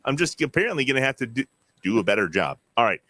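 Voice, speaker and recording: Lively, bright-voiced man hosting sports talk. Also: medium pitch, fast, no room sound. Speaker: podcast host. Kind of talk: casual sports talk with sponsor reads.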